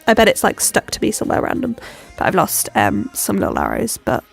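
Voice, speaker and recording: A woman's voice: medium pitch, wildly swooping pitch, a little fast, gravelly, husky, faint music behind. A young woman with a stuffy cold, chatting and rambling with lots of ums as she decorates her planner. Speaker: planner vlogger. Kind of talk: chatty plan-with-me narration.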